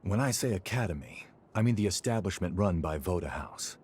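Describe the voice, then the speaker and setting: An actor playing a loyal, frank young fighter in a video game, talking casually. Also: male voice, sits low, expressive, fairly quick, a little mellow, slight background noise. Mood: earnest, reflective. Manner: casual, colloquial. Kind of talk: video game cutscene dialogue.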